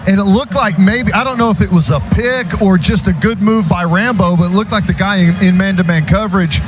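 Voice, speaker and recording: A man's voice: sits high, fairly quick, noisy background. A man giving conversational, explanatory color analysis between plays on an American football radio broadcast.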